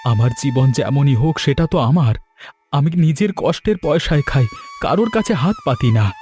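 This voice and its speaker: A man's voice: a little high, animated, normal speed, a little mellow, soft background music, natural voice. An actor playing a poor, hardworking farmer father in a cartoon folk tale, earnest and plaintive, with wounded dignity. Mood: wounded pride.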